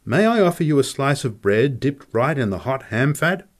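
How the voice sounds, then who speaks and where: A man voicing a courteous, soft-spoken man in a story reading, formal and deferential in his manner. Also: medium pitch, very animated, normal speed, voice pushed up. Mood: courteous, calm. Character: courteous, soft-spoken male companion of a prizefighter.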